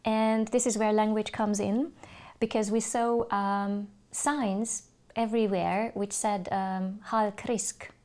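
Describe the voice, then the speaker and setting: A Hungarian woman speaking English as a podcast guest, telling stories thoughtfully with many pauses and restarts. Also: medium pitch, level tone, a little mellow. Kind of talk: casual interview chat.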